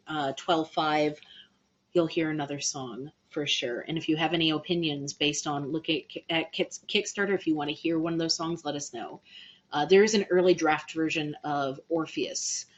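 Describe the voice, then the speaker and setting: A woman hosting a casual livestream, chatting to viewers in an animated, playful way, on dull lo-fi audio. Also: sits low. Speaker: livestream host and band musician.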